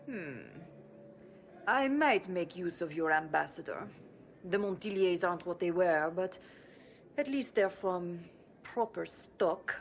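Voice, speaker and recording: An actress playing a snobbish, imperious older minister in a video game, with a creaky voice and a disdainful, deliberate, condescending way of talking. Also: female voice, lowish voice, expressive, slight background noise. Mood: calculating. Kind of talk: video game cutscene acting.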